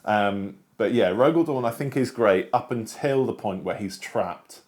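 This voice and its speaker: Man, low voice, casual conversation, informal, a bit hesitant. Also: a little slow, a little mellow. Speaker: discussion show panelist. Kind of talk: casual chat, book discussion.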